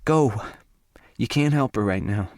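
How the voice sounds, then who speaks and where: A man's voice: mid-range voice, expressive, a little slow, a little mellow, no echo, voice pushed up. A man voicing a young woman, a protective friend who speaks in a dry, blunt, sardonic way.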